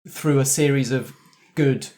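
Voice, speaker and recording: A man hosts a casual tabletop gaming discussion as game master. He talks at length, explaining and thinking aloud, with an average-pitched voice. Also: fairly even intonation, a little slow, warm tone. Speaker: game master and podcast host.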